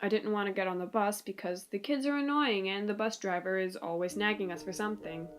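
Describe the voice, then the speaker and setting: A woman's voice: medium pitch, brisk, lightly acted. A woman playing an anxious, sarcastic teenage boy who narrates his own story in an amateur comic dub. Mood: narrating. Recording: amateur old-device recording, music behind. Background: video game music.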